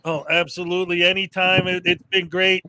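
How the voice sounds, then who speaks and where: A man's voice: high voice, level tone, a little fast, heavy background noise. A man hosting a casual livestream show, upbeat and rambling, with lots of fillers. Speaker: livestream host.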